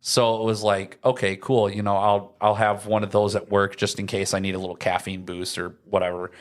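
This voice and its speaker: A man's voice: on the low side, level tone, brisk. A young man, chatting casually as a podcast co-host in a rambling, anecdotal, slangy way. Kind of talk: casual chat.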